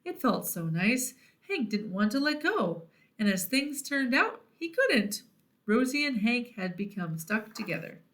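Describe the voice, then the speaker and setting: A woman narrates a story for young children. Her voice sits a little high and moves up and down in an animated way. The delivery is expressive picture-book reading, addressed to boys and girls. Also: a little slow. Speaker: children's read-aloud host.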